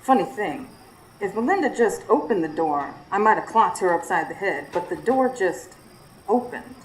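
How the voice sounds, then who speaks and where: A woman's voice: medium pitch, expressive, moderate pace, lightly acted. A woman playing a sassy, superstitious Southern woman in a live radio drama, narrating her own confrontation dramatically. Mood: wary, bold. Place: studio before live audience. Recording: roomy live stage, faint noise.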